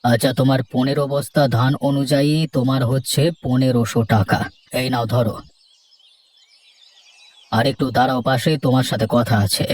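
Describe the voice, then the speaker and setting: A man's voice: medium pitch, flat delivery, normal speed, pauses often, darkish tone, lightly acted. A man voicing a stern, businesslike village headman in a cartoon, with a commanding, questioning way of talking. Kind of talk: cartoon voice acting.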